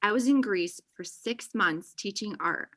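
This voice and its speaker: A woman's voice: mid-range voice, expressive, measured pace, bright, forceful tone. A young woman acting a blunt, defensive friend in a table read over a video call. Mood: hurt. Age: young adult.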